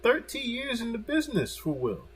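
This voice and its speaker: A man's voice: very high-pitched, wildly swooping pitch, normal speed, faint music behind. A man commenting on a video in a casual, emphatic way, repeating himself for stress and swearing freely.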